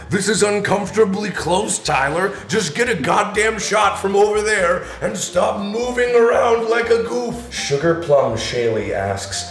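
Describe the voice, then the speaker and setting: A man in his twenties hosting a joking vlog, hoarse and gravelly from being sick, talking loosely with loud bursts. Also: on the high side. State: hoarse from illness. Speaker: YouTube host. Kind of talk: casual comedic vlog. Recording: echoey room.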